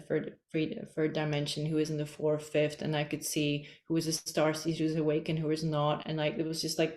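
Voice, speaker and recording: A woman's voice: sits low, a little mellow, roomy sound. A woman from the Czech Republic, a guest on a podcast, talking in a loose, rambling way full of "like" fillers. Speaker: podcast guest, energy healer. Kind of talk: casual interview chat.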